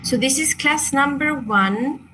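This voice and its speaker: A woman's voice: high-pitched, takes it slowly, background noise. A woman from Chile, a native Spanish speaker with a Chilean accent, presenting an online crystal workshop in a hesitant, apologetic way with many fillers and restarts.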